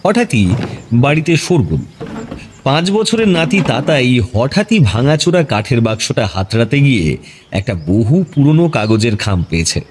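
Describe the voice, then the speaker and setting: A man narrating a scripted audio story in an expressive storytelling style. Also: medium pitch, normal speed, slight background noise.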